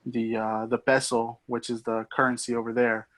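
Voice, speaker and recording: A young man from Mexico, a podcast guest, talking in a casual, hesitant way with many pauses and restarts. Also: medium pitch, flat delivery, fairly bright tone. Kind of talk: casual conversation.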